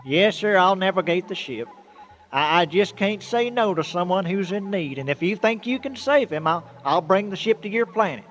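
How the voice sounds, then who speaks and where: An actor playing a naive, good-natured country hauler in old-time radio comedy style, with hesitant, folksy speech. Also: male voice, high voice, animated, moderate pace, fairly bright tone, muffled recording, slight background noise. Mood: reluctant.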